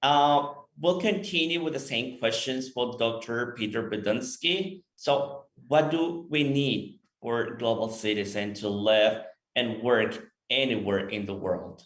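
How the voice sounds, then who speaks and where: A man's voice: mid-range voice, unhurried, few pauses, fairly bright tone. Man, moderator, formal question reading, echoey room. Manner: formal, reads questions aloud. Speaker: panel moderator. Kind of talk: moderating a panel. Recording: roomy echo.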